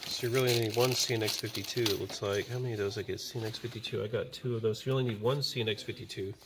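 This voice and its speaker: A man's voice: lowish voice, fairly even intonation, very fast. A man hosting a casual DIY walkthrough, thinking aloud and tallying parts as he goes. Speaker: DIY video host. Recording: noisy background.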